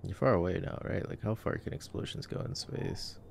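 A man giving casual, playful, wry ASMR commentary as a boyfriend watching a movie, with trailing pauses. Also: deep voice, creaky, darkish tone, a little hiss or hum. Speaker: ASMR roleplay voice actor playing a boyfriend.

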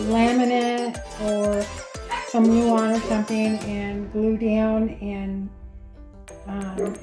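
A middle-aged woman talks through her handiwork casually and plainly, taking her time. Her voice sits a little high, and it was caught in a very roomy space with music mixed in. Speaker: DIY renovation vlogger. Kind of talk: casual project walkthrough.

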